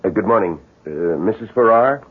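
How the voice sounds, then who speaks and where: An actor playing a courteous, measured police sergeant in a radio drama, speaking in a polite, businesslike way. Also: male voice, on the low side, animated, slightly rough. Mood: polite, inquiring. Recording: dull 1970s radio recording.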